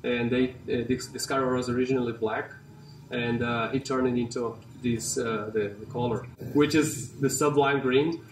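A man in his forties with a Brazilian accent, talking casually and haltingly about his car in a large garage showroom, with many fillers and restarts. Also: mid-range voice, steady tone, a little hiss or hum. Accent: Brazilian Portuguese. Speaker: company CEO and car collector. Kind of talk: casual walkaround interview. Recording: roomy and distant in a garage.

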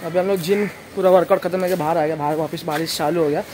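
A man's voice: on the high side, steady tone, fast. Young man cheering friends at the gym. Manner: loud cheering and egging on friends. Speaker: vlogger. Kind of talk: casual gym vlog chat. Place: indoor gym, later outdoors in rain. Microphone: handheld phone camera. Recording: noisy phone recording.

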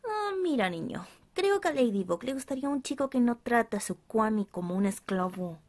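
A woman's voice: on the high side, animated, fairly quick, darkish tone. A woman voicing a cheeky, food-obsessed male cat spirit, whining and teasing in a dramatic way.

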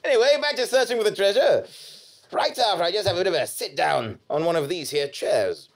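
A man's voice: high-pitched, animated, a little fast. A man on a small theatre stage playing a bumbling, nervous hero in a comic audio drama, stammering and flustered. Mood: self-narrating. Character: bumbling, nervous hero posing as a monster bear. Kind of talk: live comedy audio drama performance. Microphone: microphone on a stand.

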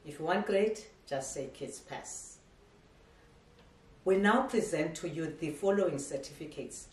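A middle-aged woman delivers a formal, ceremonial graduation speech in a measured way, with small stumbles as she reads. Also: low voice, lively intonation. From South Africa. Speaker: academy head giving a graduation speech. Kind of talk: formal prepared speech read aloud. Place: living room at home. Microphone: camera across the room. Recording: echoey room, voice from a distance.

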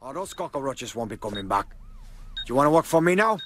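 An actor playing a brash, hot-tempered Cuban-American crime boss with a Cuban accent, blunt, profane and domineering. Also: male voice, medium pitch, sing-song, brisk, fairly bright tone, soft background music. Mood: swaggering, pushy. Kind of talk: video game character acting.

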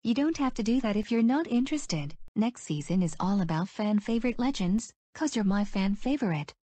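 A woman voicing the host of a homemade animated competition show, effusive and repeating her offer. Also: mid-range voice, fairly quick, no echo, close to an everyday voice. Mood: enthusiastic. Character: female game show host, enthusiastic and warm. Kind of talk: amateur animated show voice acting.

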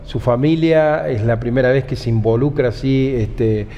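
A man's voice: mid-range voice, normal speed, mellow, long reverb tail, highs cut off, a little hiss or hum. A man interviewing guests in a TV studio, asking questions hesitantly with many fillers. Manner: hesitant questions, frequent backchannel.

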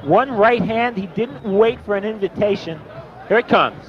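A man's voice: sits high, moderate pace. A man giving replay commentary as second voice on a boxing broadcast. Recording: dull old TV broadcast, crowd noise. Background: faint arena crowd.

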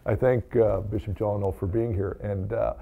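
Older American man, low gravelly voice. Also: steady tone, narrow, tinny audio. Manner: halting, with repeated words. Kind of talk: informal remarks and blessing at Mass. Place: small chapel, indoors.

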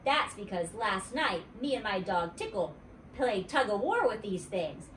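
A woman voicing a bold, chatty little girl with a high, wheedling, bouncy child voice. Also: big pitch swings, unhurried, a bit bright. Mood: matter-of-fact. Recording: roomy, distant mic, faint noise.

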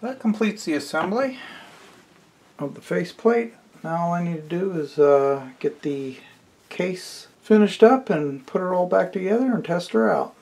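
Male, middle-aged hobby host, slightly high pitch, casual and explanatory, camera audio with faint noise. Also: lively intonation, normal speed. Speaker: host of a radio restoration channel. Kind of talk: hands-on demonstration to camera. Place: outdoors on a wooden deck or driveway, also at a workbench.

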